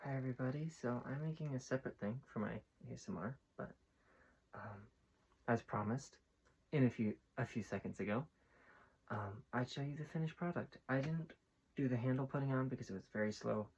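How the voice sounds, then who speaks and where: A man talking casually and informally to viewers in a soft, mellow voice, in the manner of a homemade ASMR video. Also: medium pitch, brisk, husky, highs cut off. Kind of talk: casual ASMR chat while building bricks. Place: small room at home, on the floor.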